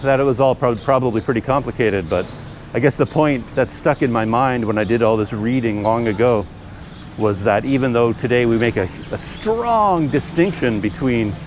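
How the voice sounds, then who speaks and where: A man from Canada, mid-pitched, rambles easily as he walks through a bustling city market. He keeps stopping to hunt for words. Traffic and street bustle come through clearly around his camera mic. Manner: rambling, thinking aloud with fillers. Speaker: travel vlogger. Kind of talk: casual talk to camera while walking. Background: traffic and trucks passing.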